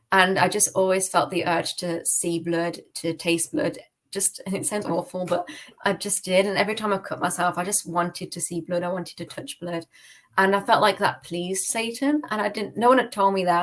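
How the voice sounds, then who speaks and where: A woman's voice: on the low side, fairly bright tone. A British woman giving a personal testimony in a casual interview, candid and hesitant, often correcting herself. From United Kingdom. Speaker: podcast guest.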